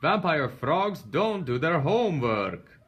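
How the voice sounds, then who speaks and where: A man voicing an excitable, cheeky young boy frog in a picture book, exclaiming and drawing out words dramatically. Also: fairly high pitch, slow, pitched above the performer's own voice, exaggerated voice. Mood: boastful.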